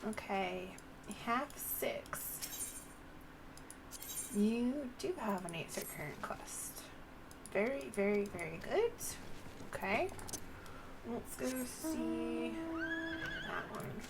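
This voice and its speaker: A woman's voice: fairly high pitch, sing-song, drawn-out words, gravelly, long pauses, background noise. A woman doing casual live gameplay commentary, muttering and thinking aloud to herself. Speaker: game streamer.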